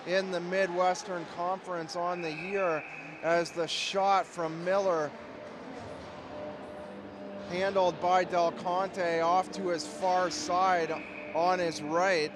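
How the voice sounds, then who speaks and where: A man with a cold, hoarse and losing his voice, calling ice hockey play-by-play in a steady, measured way from an arena. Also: high voice, noisy background. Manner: steady, measured call that climbs to shouting on goals. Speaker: play-by-play commentator.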